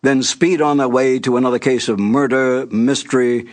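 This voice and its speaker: An older man hosting with a scripted, nostalgic introduction, telling it in a measured way with deliberate emphasis. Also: medium pitch, fairly even intonation. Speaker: announcer and host.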